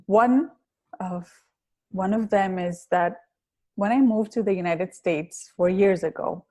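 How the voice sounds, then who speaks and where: A woman's voice: mid-range voice, slow pace, a little mellow. A woman with a heavy Greek accent, a public speaking coach being interviewed on a podcast, talking in an earnest, reflective way with some hesitations. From Greece.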